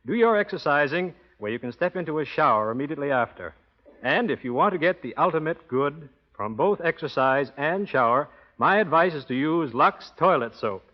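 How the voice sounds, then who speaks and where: A man's voice: on the high side, lively intonation, fairly quick. An American man giving a scripted promotional talk as a guest expert on 1930s radio. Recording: muffled old radio broadcast.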